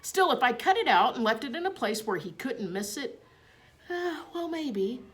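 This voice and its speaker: A woman reading a children's storybook aloud in expressive narration. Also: medium pitch, slight background noise.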